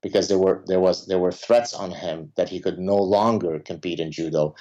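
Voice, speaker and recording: A man from Lebanon, a native Arabic speaker, talking in a conversational interview, often pausing on fillers, then stressing points with emphasis. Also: deep voice, fairly even intonation, dark tone. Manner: hesitant with many fillers, emphatic. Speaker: podcast guest, professor. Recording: dull lo-fi sound.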